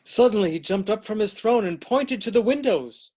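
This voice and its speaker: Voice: mid-range voice, animated, moderate pace, a little mellow, slight background noise. A narrator reading scripted story narration in a readers theater.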